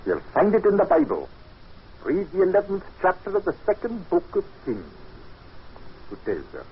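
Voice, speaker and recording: Foreboding servant, old radio play: cryptic, respectful, very varied intonation, muffled vintage sound. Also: male voice, high voice, huge pitch swings, moderate pace. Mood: grave, foreboding. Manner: deferential, ominous, cryptic. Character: grave, foreboding Scottish male servant McJobson. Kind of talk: old time radio drama acting. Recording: dull, noisy old radio recording.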